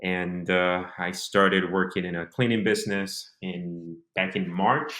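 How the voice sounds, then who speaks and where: A man's voice: sits low, fairly even intonation, a little slow, a bit bright, reverberant. A man in his mid-twenties from Honduras talking casually as an interview guest, telling his story reflectively in short phrases. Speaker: interview guest, business owner.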